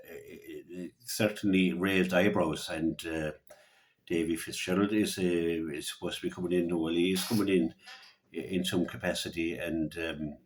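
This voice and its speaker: Male local radio sports pundit, Irish accent, very low voice, halting off-the-cuff delivery with repeated words. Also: darkish tone. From Ireland. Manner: halting, repeats words as he gathers his thoughts. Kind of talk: unscripted sports commentary and opinion.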